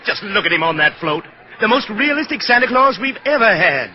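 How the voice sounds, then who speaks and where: An actor playing a fussy, excitable department store publicity man with gushing, prissy delivery in 1940s radio style. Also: high-pitched, brisk, a little hiss or hum. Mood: excited, gushing. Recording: muffled 1940s radio recording.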